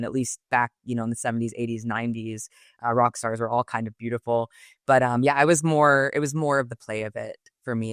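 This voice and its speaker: A young woman from Texas with a fairly low, smooth, resonant voice, recorded close and dry. She talks things through reflectively and articulately, leaning on "um" and "like" as she thinks. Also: no room sound. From Texas, United States. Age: 20s. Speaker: guest. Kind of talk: podcast interview.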